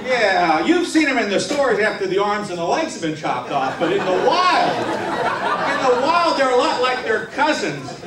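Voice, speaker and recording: A man telling a comic tall tale on stage in a theatrical, mock-serious way with dramatic pauses. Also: sits high, sing-song, a loud crowd. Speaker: humorous contest speaker. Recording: roomy hall, distant mic, faint noise.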